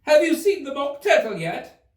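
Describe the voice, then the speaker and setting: A man voicing a savage, imperious storybook Queen who shouts commands. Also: extremely high pitch, big pitch swings, moderate pace, reverberant, distant mic, pitched above the performer's own voice. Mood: bossy.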